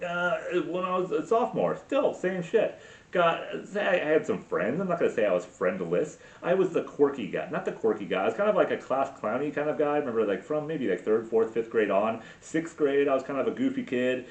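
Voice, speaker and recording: A man's voice: mid-range voice, very animated, fairly quick. A man in his forties rambling alone in a garage, profane and self-mocking, in a solo monologue. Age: 40s. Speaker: podcast host. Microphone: camera mic. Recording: roomy, dull lo-fi sound.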